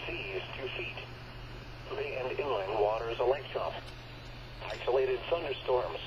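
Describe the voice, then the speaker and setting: A man's automated-sounding voice reading a scripted marine weather bulletin over the radio in a flat, clipped way. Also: mid-range voice, a little slow, background noise. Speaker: weather radio forecast announcer. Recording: dull small radio speaker, chatter over it.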